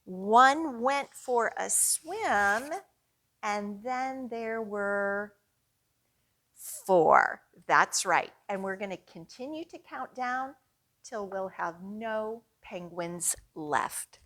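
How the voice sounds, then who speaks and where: A woman leading a storytime for young children, reading expressively and guiding them along in an encouraging, teacherly way. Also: medium pitch. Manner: slow, expressive, encouraging, with clear teacherly guidance. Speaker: children's librarian hosting storytime.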